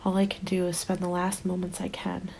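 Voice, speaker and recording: A woman acts out a haunted, determined survivor of the zombie apocalypse in an ASMR roleplay. Her voice is soft, dark and breathy, often sinking to a whisper, and it stays fairly even and intimate. Music plays behind her. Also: fairly low pitch. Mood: grieving, bleak. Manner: hushed, intimate, deliberate. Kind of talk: ASMR roleplay, dramatic monologue. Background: background music.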